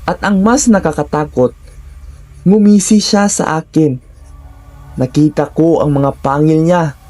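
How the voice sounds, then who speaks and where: A Filipino man tells a scripted horror tale in the first person. His voice is mellow and sits slightly high for a man. He builds suspense with short, deliberate phrases. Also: soft background music. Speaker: horror story narrator.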